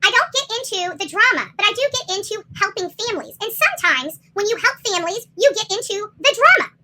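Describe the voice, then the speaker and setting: Very high, bright, fast impassioned ranter. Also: sing-song. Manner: confrontational, emphatic, repeats phrases for effect; salty, swearing. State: upset, agitated. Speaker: livestream host commenting on a missing-person case. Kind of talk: impassioned livestream rant.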